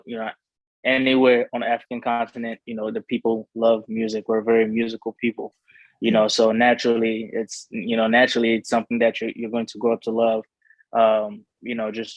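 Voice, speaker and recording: Flat-toned young man from Cameroon. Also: mid-range voice, a little fast, dry recording, dull audio. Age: young adult. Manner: rambling, many fillers and restarts. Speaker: rapper being interviewed. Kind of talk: casual interview talk.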